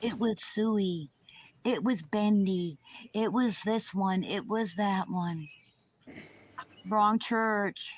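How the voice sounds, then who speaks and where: A woman's voice: medium pitch, extremely slow, pauses often. A woman delivering a taunting, foul-mouthed monologue.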